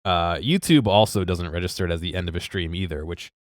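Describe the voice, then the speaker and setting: A man's voice: deep voice, brisk, no room sound, studio quality. A congested man chatting casually to his live-stream audience, rambling and interrupting himself, chuckling now and then.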